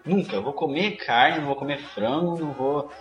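A man's voice: a little high, brisk, muffled recording. A man with a Brazilian accent in casual, emphatic, bantering podcast chat. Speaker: podcast co-host. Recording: echoey room. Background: faint music.

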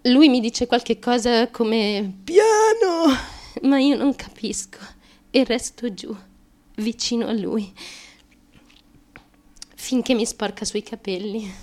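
An actress playing a desperate, naive young woman chasing fame in a theatrical radio monologue, confiding in a halting, obsessively repetitive way. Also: fairly high pitch, lively intonation, a little mellow. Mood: subdued, bitter. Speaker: stage actress.

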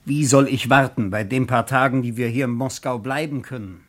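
Man acting an impatient tourist, frustrated, average pitch, radio play dialogue. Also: steady tone, a little fast, a bit bright, natural voice.

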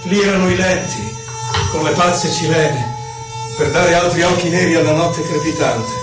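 An actor playing a nostalgic, lovelorn exiled poet in a solemn, theatrical poetry recital over violin. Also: male voice, a little high, moderate pace, close to an everyday voice. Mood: solemn, melancholic. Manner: dramatic, lyrical, solemn delivery. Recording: roomy, distant voice under violin music. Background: violin music.

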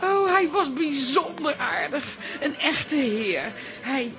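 An actress playing a theatrical, starstruck aunt who loves culture, gushing dramatically in a radio drama. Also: female voice, high-pitched, big pitch swings, slow pace, crowd noise, full character voice. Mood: swooning. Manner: gushing, dramatic, breathy exclamations.

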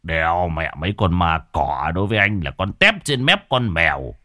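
A man voicing a boastful, scruffy aging male sorcerer who curses and mutters gruffly. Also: sits low, big pitch swings, moderate pace, bright, forceful tone, no room sound, clean studio sound, heavily acted.

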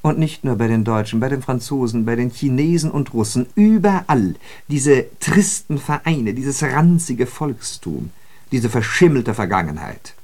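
An actor playing a sardonic, disillusioned socialist who observes city life in a radio drama, with ironic, declamatory and emphatic phrasing. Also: male voice, mid-range voice, expressive, measured pace, darkish tone. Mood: biting, mocking. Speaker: radio play speaker. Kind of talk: literary German radio drama monologue.

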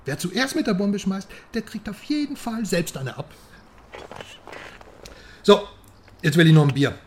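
A cocky, mocking journalist. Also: male voice, high voice, sing-song, measured pace, a little hiss or hum. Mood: boozy musing. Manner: teasing, sarcastic, jumps between loud and quiet. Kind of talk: radio drama acting.

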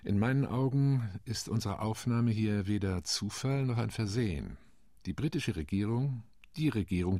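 A weary UN delegate in a radio play, speaking in a deep, rough and husky tone. His account is measured and wry, with quiet indignation underneath. The recording is close and dry. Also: no echo, full character voice. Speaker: UN commission delegate.

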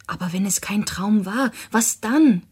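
An actress playing an earnest, haunted young woman who narrates and speaks in a gothic radio drama, in a soft, breathy voice. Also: medium pitch, a little slow, no room sound, clean studio sound. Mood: fearful, wondering.

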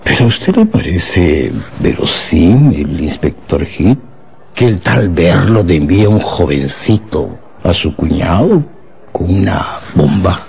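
An actor playing a shrewd senior police chief in a radio drama, musing and questioning with deliberate authority. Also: male voice, deep voice, expressive, gravelly, darkish tone, breathy edge, full character voice. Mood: skeptical, musing.

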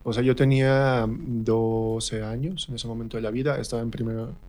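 A man's voice: medium pitch, fairly even intonation, normal speed, darkish tone. A man with a central Mexican accent, telling personal stories casually as a guest in a radio interview, acting out remembered remarks as he goes. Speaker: interview guest, stage actor.

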